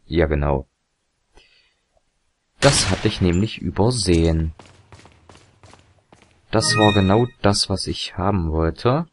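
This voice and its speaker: A young man commentating a video game, chatty and rambling with fillers and self-corrections, his voice a little hoarse and tired. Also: sits low, a little hiss or hum. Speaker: let's play commentator. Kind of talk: casual gameplay commentary.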